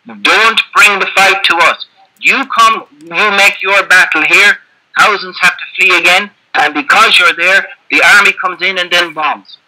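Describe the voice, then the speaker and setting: A man making an earnest, emphatic case as a panelist in an online debate, slowing down to stress his points over a video call. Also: sits high, pushed and bright, poor audio.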